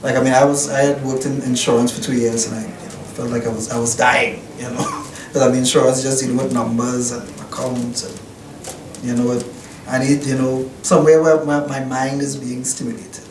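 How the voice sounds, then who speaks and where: A man's voice: mid-range voice, level tone, a little fast. A young man, a newspaper reporter, giving hesitant, reflective interview answers full of restarts and "you know" fillers in an office. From Trinidad and Tobago. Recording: roomy, distant mic, faint noise.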